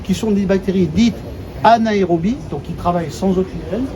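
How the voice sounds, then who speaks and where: A man's voice: sits high, normal speed, a crowd in the background. A man giving an informal, colloquial explanatory talk to visitors on site, simplifying technical points as he goes. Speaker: company executive presenting a water treatment plant. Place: on site at a treatment plant. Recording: dull sound, heavy ambient noise.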